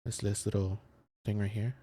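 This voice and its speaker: A man's voice: fairly low pitch, flat delivery, normal speed, dark tone, slightly rough, no room sound. A man streaming a game with casual, rambling commentary, thinking aloud as he searches for words and reads things out. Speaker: video game streamer and host.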